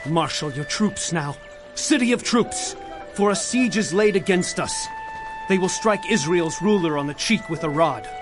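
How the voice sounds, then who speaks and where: Music plays clearly behind an earnest biblical prophet. His voice is fairly high for a man, and he proclaims solemnly, in the declamatory style of an audio Bible drama. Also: animated, a little slow. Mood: solemn, proclaiming.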